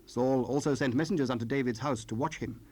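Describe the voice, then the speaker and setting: A man with a medium-pitched voice narrates a dramatized Bible story. His delivery is solemn and scripted, as the narrator between acted parts. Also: quick pace, darkish tone.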